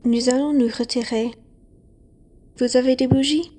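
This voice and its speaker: A woman playing a prim, brisk bourgeois lady traveller in an amateur radio play, proper and self-assured. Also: high-pitched, steady tone, dark tone.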